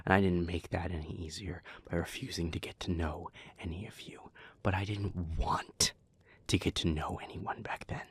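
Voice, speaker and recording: A bitter male vampire with a low, husky voice, acted out by a man for an audio roleplay. He is emotionally raw and defensive, speaking with confessional intensity. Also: steady tone, moderate pace, dry recording. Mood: subdued, regretful. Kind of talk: dramatic audio roleplay acting.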